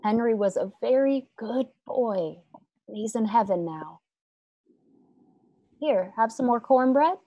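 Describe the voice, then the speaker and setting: Slow-spoken, tender but firm mother, acted by a woman. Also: fairly high pitch, expressive, dull audio, slight background noise. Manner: gentle, measured, admonishing. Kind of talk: radio drama read-through. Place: home room, remote video call.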